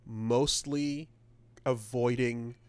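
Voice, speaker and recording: A man's voice: mid-range voice, steady tone, drawn-out words, clear, resonant tone, no room sound. A man co-hosting a podcast, talking in a loose and rambling way and swearing casually as he goes. His voice is close and dry on the mic, with no room sound. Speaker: podcast co-host. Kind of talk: casual chat.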